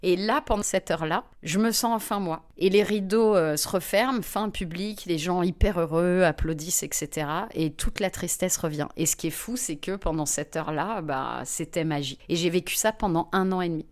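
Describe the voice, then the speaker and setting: Lively lower-pitched woman telling stories. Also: fairly quick, hardly any pauses. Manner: lively, expressive, frequent fillers. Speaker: comedian guest. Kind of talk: anecdotal storytelling in conversation.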